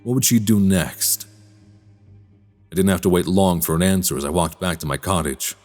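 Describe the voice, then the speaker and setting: An actor playing a polite, troubled man suspected of being a vampire in a radio drama, speaking in measured, formal storyteller phrasing. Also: low-pitched, a little mellow, faint music behind, lightly acted. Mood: brooding, uneasy. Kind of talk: immersive radio drama acting and narration.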